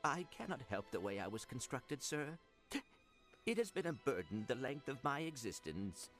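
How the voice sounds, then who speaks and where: Give a small robot secret agent, earnest and polite. His diction is formal and exact, and his pitch swings widely, with music clearly playing underneath. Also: big pitch swings, moderate pace, fairly bright tone. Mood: polite, deadpan. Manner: formal, precise diction without contractions. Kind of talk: video game cutscene acting.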